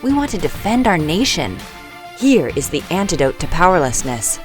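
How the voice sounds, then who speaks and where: A woman's voice: on the low side, big pitch swings, moderate pace. A woman reading dramatic scripted narration for a programme introduction. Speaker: promo narrator. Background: music.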